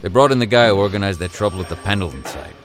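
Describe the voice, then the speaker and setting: A man's voice: low-pitched, expressive, racing, music in the background. A man playing a deferential police sergeant in a radio drama, speaking hesitantly. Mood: uneasy, dutiful. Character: deferential male small-town police sergeant.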